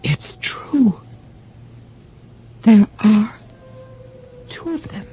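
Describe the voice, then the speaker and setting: An actress playing a proud, anxious middle-aged mistress of a house in a radio drama, speaking formally and theatrically. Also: female voice, medium pitch, expressive, drawn-out words. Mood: tense, disbelieving. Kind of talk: dramatic radio storytelling and acting. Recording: dull vintage radio, music underneath.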